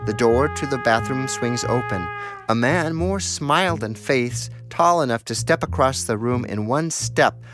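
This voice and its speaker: A man's voice: medium pitch, a little slow, music in the background. A man reading scripted narration for a radio documentary feature.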